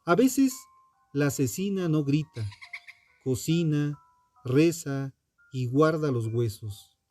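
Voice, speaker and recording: Slow, animated high-pitched male narrator. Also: no echo. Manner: dramatic, deliberate phrasing with pointed pauses. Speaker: true crime narrator. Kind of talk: scripted true crime narration. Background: music under the voice.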